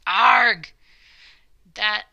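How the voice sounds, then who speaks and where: A woman's voice: medium pitch, extremely slow, pushed and bright, highs cut off, exaggerated voice. A woman voicing a contemptuous, grumbling, scheming male king from a children's storybook, with a blustering, sneering delivery. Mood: indignant, grumbling. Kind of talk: children's storybook character reading.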